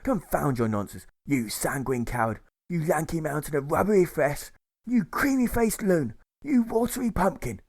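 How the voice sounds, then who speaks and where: A man's voice: on the high side, lively intonation, moderate pace, a little mellow, voice pushed up. A man voicing a blustering, outraged military police chief who squawks old-fashioned insults and orders in an audiobook. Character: blustering, cursing provost marshal barking insults and orders.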